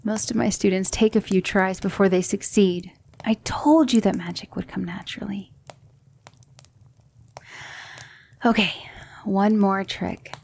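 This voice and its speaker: A woman playing a gentle, patient demon mentor in an ASMR roleplay, coaxing her student with soft, deliberate instruction that often drops toward a whisper. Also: mid-range voice, lightly acted. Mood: calm, instructive. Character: gentle female demon mentor teaching magic, patient, reassuring.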